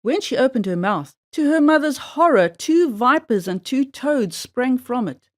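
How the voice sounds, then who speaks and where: A woman reading a fairy tale aloud for children in an expressive, measured storybook style. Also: sits high.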